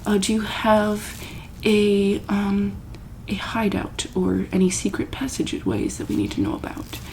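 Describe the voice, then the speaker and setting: Slow, creaky-voiced woman doing ASMR roleplay. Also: medium pitch, a little hiss or hum, low-quality recording. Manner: slow, hesitant, repeats questions gently. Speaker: ASMR roleplayer as monster hospital examiner. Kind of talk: soft-spoken ASMR medical roleplay.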